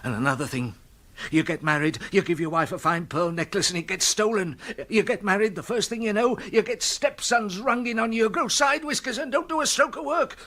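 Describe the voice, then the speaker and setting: Henpecked moany english husband, high sharp voice, dyspeptic n complaining, radio comedy. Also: male voice, a little fast. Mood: peevish moaning. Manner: complaining, groaning, meek before his wife. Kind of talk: comic radio drama acting.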